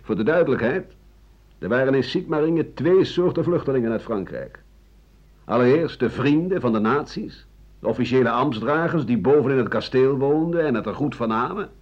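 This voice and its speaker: Husky, embittered older man, played by an actor. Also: mid-range voice, animated, moderate pace, a little mellow, close to an everyday voice. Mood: scornful. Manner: aggrieved, emphatic monologue with scornful chuckles. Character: embittered older French doctor-writer accused of treason, defiant. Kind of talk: radio play monologue. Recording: dull 1980 radio drama recording.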